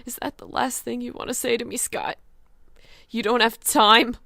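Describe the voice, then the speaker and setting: An actress plays a young woman worn down by depression, bitter and fatalistic. Her voice is full of gravelly creak and recorded very dry, as a character in a dramatized audio story. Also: a little high, fairly even intonation, moderate pace, sharp tone, no echo. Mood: hurt, accusing. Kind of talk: dramatized horror-fiction audio story acting.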